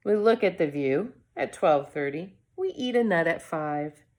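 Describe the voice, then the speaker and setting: Woman reading a kids picture book out loud, really slow, lowish voice, expressive w dramatic pauses. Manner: expressive, deliberate dramatic pauses between short phrases. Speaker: coach reading a children's story. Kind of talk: storybook read-aloud with brief asides to viewers.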